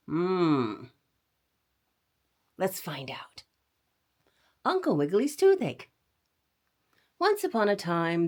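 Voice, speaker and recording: A woman storyteller hosting a read-aloud for children, talking directly to her young listeners in an expressive, animated way. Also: fairly low pitch.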